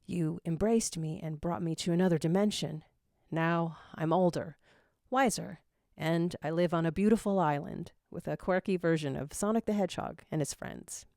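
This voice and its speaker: A woman's voice: lowish voice, normal speed, gravelly, dark tone, dry recording, clean studio sound. A woman voicing a proud, brooding male hedgehog hero in an earnest, emphatic manner.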